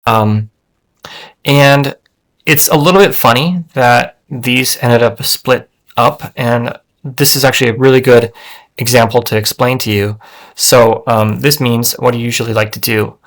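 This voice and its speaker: A man's voice: medium pitch, fairly even intonation, a little slow, fairly bright tone. A man demoing his language-learning app on screen, talking in a casual, thinking-aloud way with lots of fillers and restarts. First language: English. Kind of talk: casual screen-share software demo.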